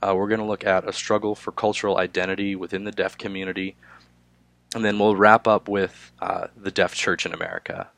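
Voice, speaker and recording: A young man, hoarse from a cold, giving a class presentation with frequent "uh" fillers. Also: low voice, level tone, fairly bright tone, dry recording. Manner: halting, many filler "uh"s. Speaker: student presenter.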